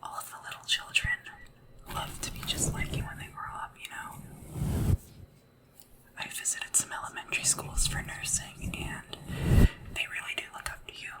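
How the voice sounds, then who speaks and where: A woman speaking softly and whispering in an ASMR roleplay as a polite, hesitant, deferential sleep assistant to royalty. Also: moderate pace, long pauses, far from the mic, poor audio. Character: nervous, devoted royal sleep assistant to a dragon ruler.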